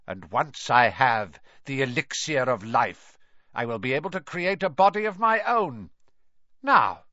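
A man voicing a cold, hissing, snake-faced dark lord in an audiobook, menacing and snarling, in a slightly dull lo-fi recording. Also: a little high, dry recording, full character voice.

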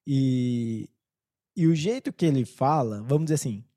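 A man with a Brazilian Portuguese accent chatting as a podcast co-host in an informal, slangy, somewhat halting way. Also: mid-range voice, expressive, warm tone. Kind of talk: casual chat.

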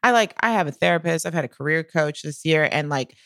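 A woman's voice: low voice, fast talker, no echo, studio quality. A woman co-hosting a podcast, telling personal stories in a casual, giggly, slangy way. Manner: giggly, animated retelling with acted-out quotes; informal, slangy, peppered with like and swearing. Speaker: podcast co-host. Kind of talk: casual personal storytelling chat.

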